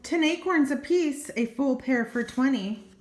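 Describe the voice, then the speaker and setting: A woman's voice: high voice, unhurried. An older woman voicing a cheeky male rabbit street seller in a storybook, calling out his wares. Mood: hawking. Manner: hawking, calling out. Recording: roomy, echoing home recording.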